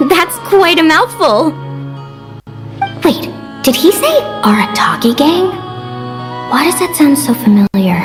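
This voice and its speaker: A bubbly young adventurer in a game. Her voice is high and very lively, easygoing and friendly, with music playing behind it. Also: measured pace, long pauses. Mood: breezy, curious. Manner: upbeat, breezy, casual.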